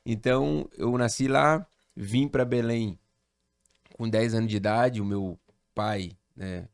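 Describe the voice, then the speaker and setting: A man's voice: lowish voice, takes it slowly, creaky, clear, resonant tone, no room sound, studio quality. Man from Rio telling a story. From Rio de Janeiro, Brazil. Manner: animated storyteller, relaxed slang. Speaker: pastor, podcast guest. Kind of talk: casual storytelling chat.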